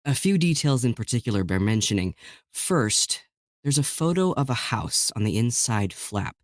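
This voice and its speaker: A man performs as a serious male podcaster in a horror audio drama. He recounts unsettling details in a deliberate, grave way, with a mid-pitched voice in a very dry recording. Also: big pitch swings, no echo, natural voice. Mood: grave, uneasy.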